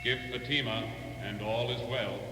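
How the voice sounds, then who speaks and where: A man's voice: medium pitch, steady tone, moderate pace, sharp tone. A man announcing in mid-century radio style, with formal programme and advertising lines. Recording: dull, noisy vintage radio recording.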